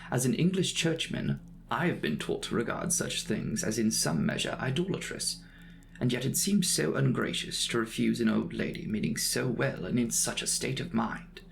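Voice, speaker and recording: A man narrating a classic novel as a dramatic audiobook, with measured, formal, theatrical phrasing. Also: mid-range voice, expressive, gravelly, background noise.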